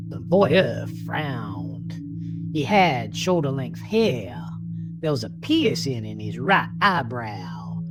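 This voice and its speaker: A woman narrating a horror story in a deliberate, theatrical manner with dramatic pauses. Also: very deep voice, rough recording. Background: music bed.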